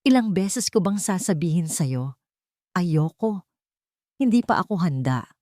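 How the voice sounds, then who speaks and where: A woman's voice: fairly low pitch, lively intonation, measured pace, mellow, dry recording, studio quality. Troubled tycoon husband for a narrated drama, read by a woman; firm, short-tempered, threatening, closely recorded. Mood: angry, firm. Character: haunted, angry millionaire husband, curt and menacing.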